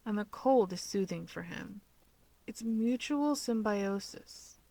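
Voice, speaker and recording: A woman voicing a stubborn, literal-minded teenage boy who speaks in a deadpan, formal, measured way. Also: mid-range voice, vocal fry, no echo, full character voice. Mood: matter-of-fact.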